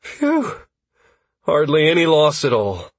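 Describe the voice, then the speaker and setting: Worn-out young-hero type, read by a male narrator: downcast, breathy, husky, fantasy audiobook. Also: fairly low pitch, lively intonation, slow pace, fairly bright tone, no room sound, heavily acted. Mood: relieved. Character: drained, relieved male adventurer sick from resurrection.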